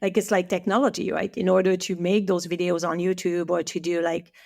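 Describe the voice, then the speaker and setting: A woman's voice: fairly low pitch, little pitch movement, a little fast, gravelly, darkish tone. A woman with a French accent, whose first language is French, talking as a guest in a podcast interview with eager speech and many restarts. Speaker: guest, Chinese medicine teacher.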